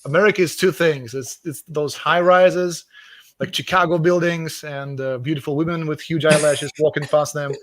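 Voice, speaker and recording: A man's voice: a little high, fairly even intonation, normal speed, dry recording. Enthusiastic Russian-accented man, dry sound. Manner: enthusiastic, repeats words while searching for phrasing. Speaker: author guest. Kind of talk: casual video chat interview.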